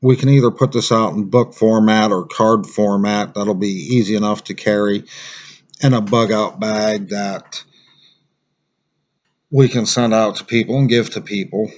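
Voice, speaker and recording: A man's voice: fairly low pitch, fairly even intonation, a little slow, highs cut off. An American man giving a casual, unscripted gear review as a survival instructor, plain-spoken and rambling.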